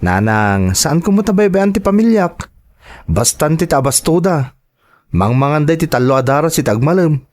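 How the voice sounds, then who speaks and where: A man's voice: a little high, sing-song, normal speed, a little mellow. A man playing a stubborn, hard-drinking young husband and son in an emotive Ilocano drama. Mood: defensive, indignant. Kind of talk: Ilocano radio-style drama acting.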